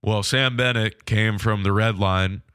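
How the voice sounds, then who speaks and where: Nasal man with a cold, low voice. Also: fairly even intonation, fairly bright tone, smooth, no echo, clean studio sound. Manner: frank, measured hockey analysis. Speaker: podcast host. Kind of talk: solo sports podcast recap.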